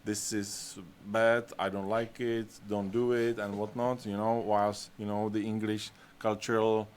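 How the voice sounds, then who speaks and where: A man's voice: lowish voice, flat delivery, unhurried, a little hiss or hum. A man whose first language is Czech, answering questions in a casual Q&A, hesitant and full of fillers as he searches for words. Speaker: game studio staff answering questions. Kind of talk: casual Q&A talk.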